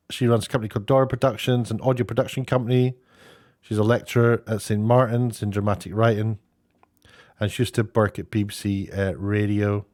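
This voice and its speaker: Halting man in casual commentary, dry sound. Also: mid-range voice, no room sound. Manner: halting, rambling, breaks off to rephrase. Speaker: online commentator, former broadcast worker. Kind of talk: casual off-the-cuff spoken commentary.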